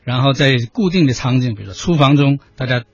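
Husky middle-aged man, reflective panelist. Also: medium pitch, expressive, fast, dry recording. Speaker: panel guest. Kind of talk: conversational radio commentary. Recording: dull, muffled audio.

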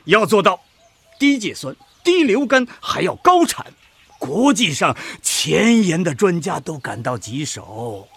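A pompous husky professor with wildly swooping pitch. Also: male voice, sing-song, unhurried, fairly bright tone, no echo, exaggerated voice. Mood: lecturing, self-assured. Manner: pompous, lecturing, didactic. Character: pompous older male agricultural research professor. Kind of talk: Chinese radio drama acting.

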